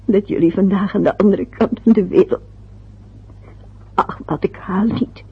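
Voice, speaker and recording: A woman's voice: mid-range voice, measured pace, husky. An actress playing a fussy, anxious older mother in a radio play, chattering and muttering to herself. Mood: fretful. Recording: dull lo-fi old radio recording.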